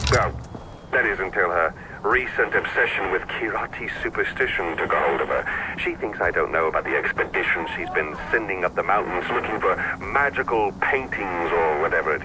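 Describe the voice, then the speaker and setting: Casting: theatrical despot villain for a game, sardonic and smug, swinging widely in pitch, over noisy ambience. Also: male voice. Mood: wry, menacing. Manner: sardonic, theatrical, drawling with mock politeness. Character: flamboyant, smug, theatrical male dictator, casually menacing. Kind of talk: video game villain monologue.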